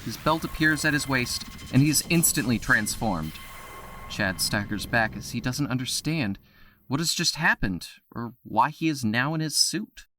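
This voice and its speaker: A man narrating an audio drama with a steady, descriptive delivery. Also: medium pitch, normal speed, no room sound. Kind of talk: scripted narration.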